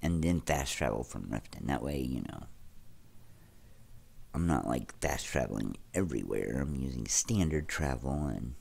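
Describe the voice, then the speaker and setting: A man's voice: very deep voice, expressive, normal speed, gravelly, darkish tone, breathy edge. A young man hosting a let's play, chatting casually with viewers while sounding stuffy and congested. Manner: chatty, addresses viewers directly. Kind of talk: casual gameplay commentary.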